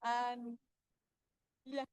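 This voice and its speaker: A middle-aged woman from Chile with a Chilean Spanish accent, speaking halting broken English as a vendor presenting her business to a meeting. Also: a little high, completely flat delivery, clear, resonant tone, no echo. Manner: halting broken English, chatty, laughs often. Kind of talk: informal pitch to a meeting.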